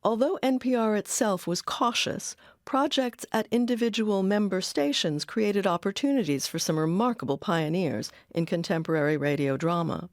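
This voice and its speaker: A woman with an American accent giving scripted documentary narration in a measured, articulate way. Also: medium pitch, dry recording, clean studio sound.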